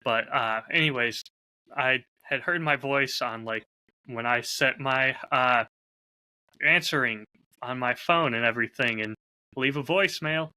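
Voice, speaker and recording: A man's voice: mid-range voice, a little slow, bright, forceful tone, poor audio. A man chatting casually and candidly as a podcast host, openly self-critical about his creative work. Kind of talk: casual chat.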